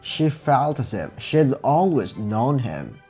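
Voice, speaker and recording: A male storyteller reads a children's fairy tale from a script. His delivery is unhurried, with a mid-range voice that moves expressively up and down. Faint music plays behind him. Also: mid-range voice, very animated, mellow, breathy edge.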